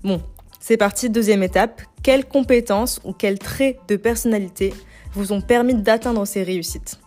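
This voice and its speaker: A woman's voice: mid-range voice, moderate pace, a little mellow, faint music behind. A young woman from Algeria hosting a casual self-help podcast, talking informally in youthful slang sprinkled with English loanwords. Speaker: podcast host and image consultant.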